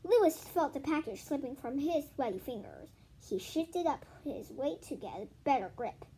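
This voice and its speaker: A female host reading a children's book aloud in an expressive, informal way, correcting herself and adding casual asides. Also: high-pitched, moderate pace, slight background noise. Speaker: video host.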